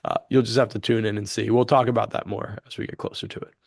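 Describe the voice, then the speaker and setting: A man's voice: fairly low pitch, fast, gravelly, no room sound, clean studio sound. A man co-hosting a podcast in casual, enthusiastic chat, earnest and slangy. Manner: earnest, animated wording with slangy "dude" and "like". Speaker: podcast co-host.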